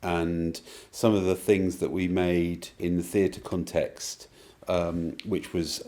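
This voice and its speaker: Male writer as interview guest. Very low pitch, considered conversational delivery. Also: flat delivery, slow pace, warm tone.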